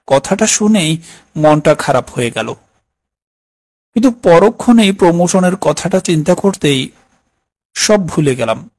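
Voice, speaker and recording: A man's voice: on the high side, a little mellow, no room sound. A man narrating a scripted dramatic story in the first person. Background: background music.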